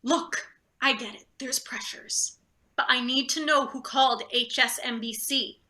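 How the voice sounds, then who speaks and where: Woman playing a high, sharp, irritated boss. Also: fairly even intonation, a little slow. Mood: scheming, impatient. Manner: curt, pressing, stammers deferentially to superiors. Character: irritated, ruthless, bullying female boss. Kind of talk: live theatre table read.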